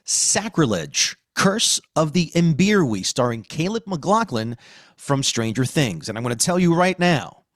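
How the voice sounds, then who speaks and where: An American man hosting a podcast, presenting emphatically with dramatic pauses on key words. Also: mid-range voice, no room sound, clean studio sound. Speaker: podcast host. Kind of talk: scripted podcast presenting and discussion.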